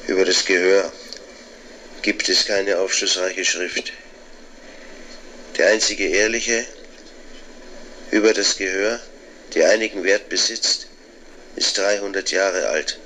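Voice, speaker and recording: A man's voice: low-pitched, fairly even intonation, slow pace, pauses often, bright, forceful tone, slight background noise, exaggerated voice, rough recording. A male actor playing a bitter, pedantic middle-aged scholar in a radio drama, circling obsessively through repeated phrases. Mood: brooding, pedantic. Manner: obsessive, repetitive, pedantic monologue. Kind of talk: literary radio drama monologue.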